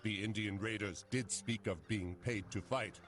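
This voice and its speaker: A man's voice: fairly low pitch, steady tone, moderate pace, fairly bright tone, faint music behind, lightly acted. A man playing a blunt, sardonic seasoned sailor in a video game cutscene. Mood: wry. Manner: blunt, wry, colourful. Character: gruff, salty old-hand sailor, blunt and sardonic.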